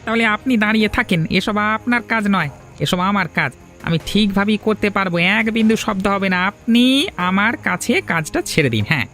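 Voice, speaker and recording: A man's voice: high voice, a little fast, pushed and bright, soft background music. A man playing a sly, eager, self-assured crop thief's henchman in a cartoon. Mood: confident, impatient. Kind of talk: Bengali cartoon voice acting.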